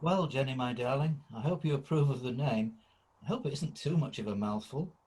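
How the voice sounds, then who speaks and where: A man playing old Ebenezer Scrooge, a gruff miser turned benefactor, in an amateur radio play, with a husky, deliberate, theatrical delivery. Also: mid-range voice, a little mellow, natural voice, low-quality recording. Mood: kindly.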